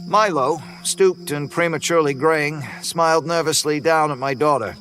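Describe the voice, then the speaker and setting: A man narrating a first-person horror story in a relaxed, folksy way, over faint music. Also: on the high side, moderate pace.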